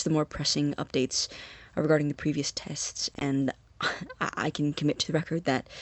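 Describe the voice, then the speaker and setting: An actor playing an earnest, obsessive young scientist in an audio drama, dictating an experiment log in formal, hesitant phrases with a slightly husky voice. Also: male voice, a little high, no room sound. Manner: formal wording, hesitant, halting.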